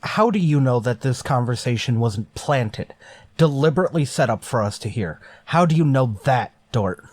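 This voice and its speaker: A man plays a starship captain from golden age radio sci-fi. He is stern and suspicious, pressing his points with authority in a mid-pitched voice, recorded close and dry. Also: animated, moderate pace, no room sound, lightly acted. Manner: commanding, insistent.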